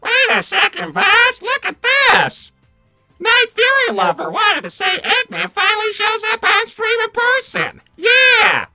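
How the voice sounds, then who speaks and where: A woman's voice: very high-pitched, sing-song, a little slow, creaky, sharp tone, faint music behind, full character voice. A woman voicing a smug little robot henchman in a comic cartoon roleplay, taunting and sarcastic. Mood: excited.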